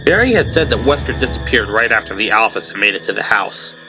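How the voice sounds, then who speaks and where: A man giving dramatic scripted narration for an audio drama, with deliberate, suspenseful phrasing. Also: on the low side, sing-song, over music, poor audio.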